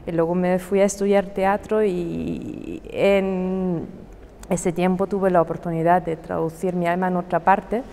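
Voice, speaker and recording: A woman's voice: on the low side, little pitch movement, measured pace, mellow, in a large hall, a little hiss or hum. A woman, a theatre translator answering in a chatty, self-correcting way. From Germany. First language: German. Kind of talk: interview answer.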